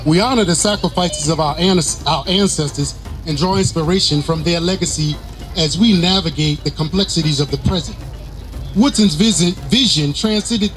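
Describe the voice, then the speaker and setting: Male event speaker, fairly high voice, oratorical and formal, faint music behind. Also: fairly bright tone, poor audio. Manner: oratorical, repeats and stumbles over words. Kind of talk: formal scripted welcome speech.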